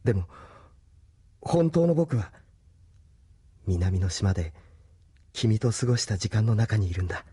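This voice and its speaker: A man's voice: on the low side, very animated, measured pace, pauses often, warm tone, husky, exaggerated voice. A man playing an earnest young resistance pilot in an anime audio drama, solemn and determined in delivery. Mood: tender, solemn. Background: background music.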